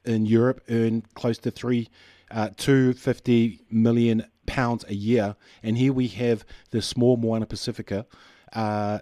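A man's voice: fairly low pitch, dark tone, no echo. Male radio host, thoughtful questioner, dry studio mic. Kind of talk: radio interview.

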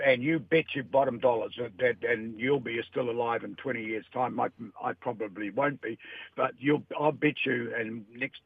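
Older New man, blunt phone-in voice, husky, thin line. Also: mid-range voice, dry recording. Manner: blunt and emphatic. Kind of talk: opinionated radio chat. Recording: tinny dry phone line.

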